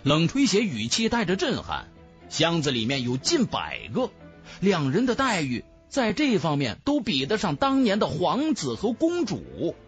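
Very animated male audiobook narrator. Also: on the high side, measured pace, soft background music. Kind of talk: dramatic scripted fantasy narration.

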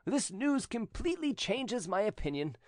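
A male narrator plays a spurned, jealous cousin from a storybook. The voice is raised high and swings widely, insistent and theatrical, in a very dry recording. He sounds pointed and scheming. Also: sing-song, moderate pace, darkish tone, dry recording. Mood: contrite, smooth.

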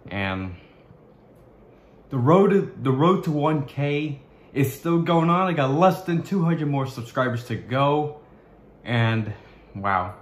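A young man vlogging to his webcam in a small bedroom, talking in a halting way with many restarts as he searches for words. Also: on the high side, very animated, roomy sound. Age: young adult. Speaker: YouTuber. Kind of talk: casual vlog to camera.

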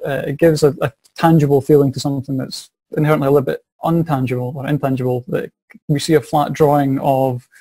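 Young Scottish man, mellow dry tone, eager teacher voice over video call. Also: medium pitch, little pitch movement, fast, breathy edge, no room sound. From Scotland. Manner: eager, repeats words as he thinks. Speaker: science and maths teacher. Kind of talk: casual interview answers. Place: small home office. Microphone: video call webcam.

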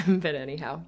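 A woman's voice: low-pitched, darkish tone, exaggerated voice. A woman playing an affectionate, earnest, slightly anxious live-in girlfriend in a conversational, rambling, hesitant ASMR roleplay. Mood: playful teasing. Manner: rambling, hesitant, giggly asides. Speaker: audio roleplay performer.

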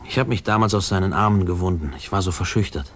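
An actor playing a grown man wistfully recalling his orphaned boyhood, reflective and measured, in a 1950s radio play narration. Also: deep voice, fairly bright tone.

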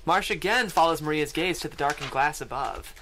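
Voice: medium pitch, lively intonation, brisk, fairly bright tone, a little hiss or hum. A narrator reading screenplay stage directions aloud at a table read. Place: podcast studio.

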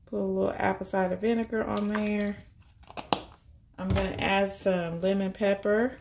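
A woman's voice: medium pitch, little pitch movement, normal speed, vocal fry, background noise. A woman, chatting casually and upbeat as she walks through a cooking prep at home, thinking aloud as she goes. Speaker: vegan cooking vlogger. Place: home kitchen.

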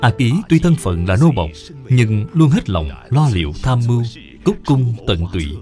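Male narrator, moral storybook tale, scripted, expressive intonation, soft music underneath. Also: mid-range voice, normal speed.

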